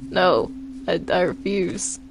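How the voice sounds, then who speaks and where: A woman's voice: lowish voice, slow pace, gravelly, noisy background. A woman voicing an anxious, reluctant male demon who stammers nervously in an improvised game roleplay. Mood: anxious, protesting. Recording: music behind the voice.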